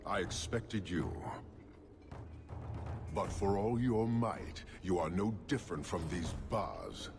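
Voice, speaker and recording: A man playing an imposing, stern horned giant warlord in a fantasy game, speaking in a deliberate, scornful, declamatory way. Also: deep voice, expressive, exaggerated voice. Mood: measured, challenging. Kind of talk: dramatic fantasy game acting. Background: loud dramatic music.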